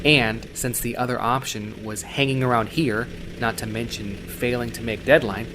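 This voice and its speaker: A man's voice: mid-range voice, fairly even intonation, moderate pace, background noise, natural voice. A man playing a gritty, wry cyberpunk courier, telling his story in a hard-boiled, conversational first person. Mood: wry, tense. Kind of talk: dramatic first-person audio story narration. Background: loud synthwave soundtrack.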